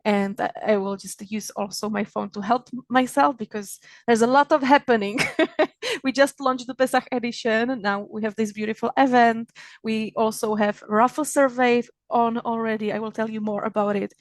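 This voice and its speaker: A woman's voice: fairly high pitch, steady tone, no echo. Czech-accented woman hosting a virtual event. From Czech Republic. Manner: warm-hearted, laughing often, halting with many restarts. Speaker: magazine founder hosting an online event. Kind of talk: opening remarks at a virtual event. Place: home in Israel. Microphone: video call.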